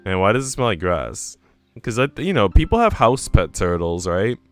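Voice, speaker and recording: A man with a fairly low voice rambles through casual livestream chat. His talk is informal and teasing, full of slang, and faint music plays behind him. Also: very animated, moderate pace. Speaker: streamer reading a visual novel aloud.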